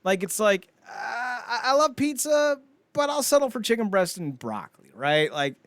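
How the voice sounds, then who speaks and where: A man's voice: very high voice, big pitch swings, normal speed, fairly bright tone, no room sound. A man co-hosting a casual pop-culture podcast, rambling and swearing freely as he chats. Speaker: podcast co-host.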